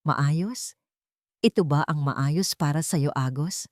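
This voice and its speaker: A worn-out wife in an audio love story , acted by a woman narrator. She speaks flat and drained, with quiet bitterness. The voice is lowish and recorded dry. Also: takes it slowly, no room sound. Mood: weary, hurt. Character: weary, wounded young wife, quietly bitter.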